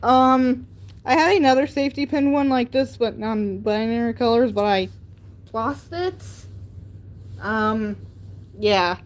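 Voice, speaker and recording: Slow, rambling teen girl streamer. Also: a little high. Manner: rambling, filler-heavy with many "like" and "um"; chatty and talks straight to viewers. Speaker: livestream host. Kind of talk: casual livestream chat showing handmade crafts.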